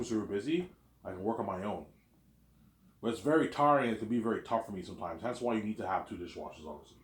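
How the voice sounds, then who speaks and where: Man, 30s, very low voice, rambling monologue, reverberant room. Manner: rambling, repeats himself, acts out both sides of imagined talks. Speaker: vlogger. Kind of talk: casual monologue to camera.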